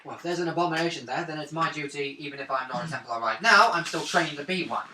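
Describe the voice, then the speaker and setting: A man's voice: fairly high pitch, fast talker, sharp tone. A man playing a tabletop role-playing game, chatting casually about play and rules. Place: indoors around a gaming table. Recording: roomy, distant, faintly noisy table recording.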